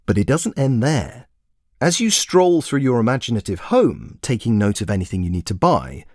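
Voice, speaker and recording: A man's voice: medium pitch, a little mellow, no room sound, clean studio sound, exaggerated voice. A man voicing a smug, pedantic, self-admiring traveller in a scripted comic audio monologue, pompous and precise. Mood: smug lecturing.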